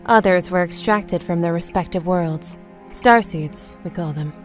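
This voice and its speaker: A woman playing a serene, mystical guru of another realm in an audio comic drama, with a measured, solemn delivery. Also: lowish voice, close to an everyday voice. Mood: calm explaining. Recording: music under the voice.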